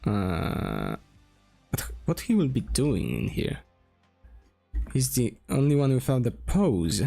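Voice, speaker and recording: A man from Poland with a Polish accent, talking casually as he draws on a stream, slowly thinking aloud with pauses and restarts. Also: mid-range voice, huge pitch swings, gravelly, mellow, soft background music. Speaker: streamer and game developer. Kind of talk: casual drawing stream talk.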